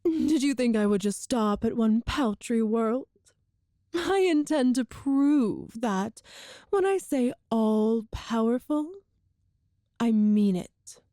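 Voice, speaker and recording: Woman playing a slow, silky, regal evil empress. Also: fairly high pitch, expressive, mellow, no echo, clean studio sound. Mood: smug, menacing. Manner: regal, deliberate, teasing, formal diction. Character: cruel, seductive evil empress, proud and calculating. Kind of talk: scripted audio roleplay, ASMR-style monologue to the listener.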